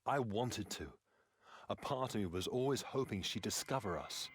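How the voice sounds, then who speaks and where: A man playing a wistful, romantic nobleman with a measured, earnest manner in a fantasy video game. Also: fairly low pitch, lively intonation, a little hiss or hum, close to an everyday voice. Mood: regretful. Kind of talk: scripted video game dialogue.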